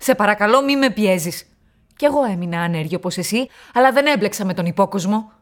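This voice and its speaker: A woman's voice: medium pitch, expressive, close to an everyday voice. An actress playing a firm but anxious woman in a radio drama, guarded and urgent as she resists pressure. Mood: firm refusal.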